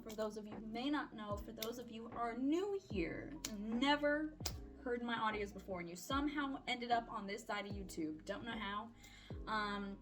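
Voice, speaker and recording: Young woman, high voice, awkward rambling vlogger. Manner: awkward, self-deprecating, giggly, rambling. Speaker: audio roleplay voice creator and vlogger. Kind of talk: casual personal update vlog.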